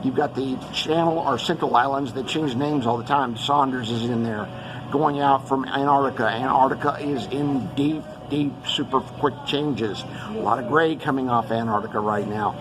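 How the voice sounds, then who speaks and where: A man's voice: mid-range voice, moderate pace, noisy background. A man who grew up around Los Angeles gives a rambling monologue, listing one place after another with many fillers. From Los Angeles area, California. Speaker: host giving an earth-changes briefing.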